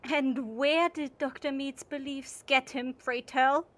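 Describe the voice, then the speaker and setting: A woman plays a high-voiced village gentlewoman, proud and reproachful. Her delivery is stern and clipped, in the manner of period audio drama. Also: steady tone, takes it slowly. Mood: accusing.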